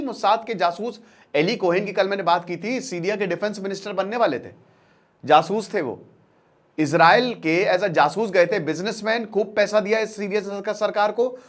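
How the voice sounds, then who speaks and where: A man giving an informal current-affairs lecture as a storyteller, talking directly to listeners in colloquial language and repeating phrases for emphasis. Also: sits high. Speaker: current affairs educator and channel presenter. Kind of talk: informal explainer lecture, storytelling with acted-out dialogue.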